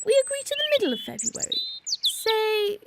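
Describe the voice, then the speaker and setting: Woman playing prim, bossy, high-voiced Spring spirit. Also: huge pitch swings, moderate pace, gravelly, very noisy, heavily acted. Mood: officious. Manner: formal, pompous, officious. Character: Spring, prim bossy female season chairing a meeting. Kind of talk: comedic radio drama acting.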